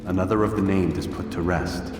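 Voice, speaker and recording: Man playing a deep-voiced, brooding assassin in echoing halls. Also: darkish tone, close to an everyday voice. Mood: earnest, questioning. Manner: formal, measured, restrained. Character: brooding, dutiful young male assassin, humbled. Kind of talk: video game cutscene acting. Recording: echoey hall reverb, music behind.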